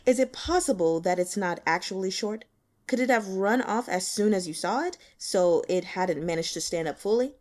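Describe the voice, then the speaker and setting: A woman's voice: medium pitch, moderate pace, darkish tone, natural voice. Woman reading as a brusque male investigator. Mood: probing. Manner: brusque, matter-of-fact. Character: brusque, probing male investigator who smokes. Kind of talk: audiobook character acting.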